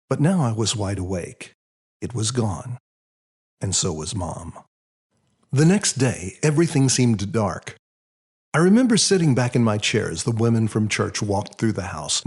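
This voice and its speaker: Husky, expressive man narrating a story. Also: fairly low pitch. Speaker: author reading his own story. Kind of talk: scripted first-person audiobook narration.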